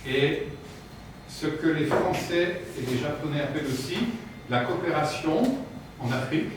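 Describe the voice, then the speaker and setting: Man speaking slowly in an echoey hall. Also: a little high. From France. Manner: slow, measured, hesitant with "euh" fillers. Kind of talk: formal talk with pauses for interpreting. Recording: echoey room from far off with noise.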